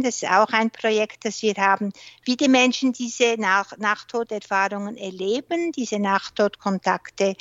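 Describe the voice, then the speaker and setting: A woman from Switzerland speaks German with a Swiss accent, pausing often as she looks for words, since she mostly works in French and English. Her voice sits a little high. The recording is dry and dull, like a remote lo-fi line. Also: fairly bright tone, dry recording. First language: not German; works mainly in French and English. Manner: thoughtful, searches for words, frequent hesitations. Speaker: guest researcher and author. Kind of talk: interview conversation.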